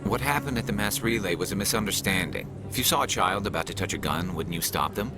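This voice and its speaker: A calmly challenging crew member in a video game. His deep voice moves quickly through each point, with music clearly behind it. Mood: reasoning, challenging. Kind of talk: video game dramatic dialogue.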